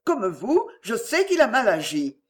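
A woman's voice: on the high side, huge pitch swings, measured pace. A woman voicing a self-pitying, scheming middle-aged mother in an audiobook, plaintive, melodramatic and wheedling. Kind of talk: acted audiobook dialogue.

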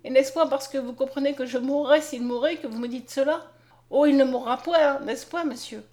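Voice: high-pitched, fairly even intonation, normal speed, a little mellow, voice pushed up, close to an everyday voice. A woman narrator voicing a pleading, anguished young peasant girl with imploring exclamations in a storybook reading. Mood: anxious.

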